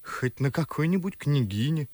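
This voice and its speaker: An actor playing a witty, talkative, mocking young gentleman in an ardent, ironic verse radio drama. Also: a little high, lively intonation, moderate pace, no echo. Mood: ironic, lively. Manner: ardent, ironic, theatrical declamation. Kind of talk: Russian classical verse radio drama acting.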